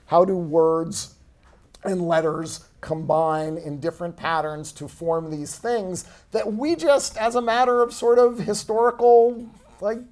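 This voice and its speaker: A man's voice: high-pitched, a little slow, a little mellow. Guy author chatting at a bookstore talk, casual, lots of ums, pokes fun at himself. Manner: chatty, self-deprecating, many fillers. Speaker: author being interviewed. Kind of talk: casual conversation at a book event. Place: bookstore event space.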